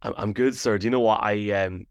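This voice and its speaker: Fast-talking podcast host. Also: male voice, fairly low pitch, fairly even intonation, gravelly, clear, resonant tone, dry recording, studio quality. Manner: rapid, chatty, lots of restarts. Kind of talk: casual interview chat.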